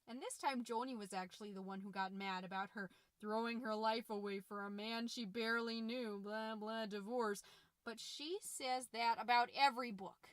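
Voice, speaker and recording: A woman's voice: fairly high pitch, steady tone, moderate pace, dry recording, natural voice. A woman playing a middle-aged, folksy, fretful suburban mom who hosts a confiding, rambling comedy podcast with anxious over-cheerfulness. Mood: defensive, gushing. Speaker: podcast host.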